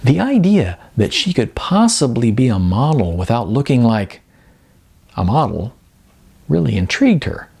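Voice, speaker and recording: A man's voice: medium pitch, very animated, normal speed, vocal fry, a little mellow, breathy edge. A man narrating as an author, reading from his own fiction. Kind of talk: scripted audiobook-style narration.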